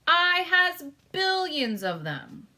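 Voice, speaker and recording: A woman voicing a big, gentle storybook giant who speaks wistfully in muddled, folksy grammar. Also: very high-pitched, sing-song, slow, pitched above the performer's own voice, full character voice. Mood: proud.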